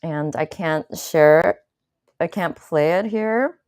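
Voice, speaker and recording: A woman hosting her own channel, chatting casually to viewers in a slow, thoughtful way with many fillers and restarts. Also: deep voice, darkish tone, no echo, clean studio sound. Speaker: channel host. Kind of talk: casual live-stream talk to viewers.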